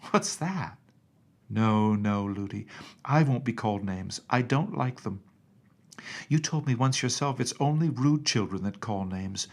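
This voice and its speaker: A man's voice: mid-range voice, breathy edge, exaggerated voice. A man voicing a young princess girl who is innocent, affectionate and stubborn, with a pleading, childlike directness, in a storybook reading.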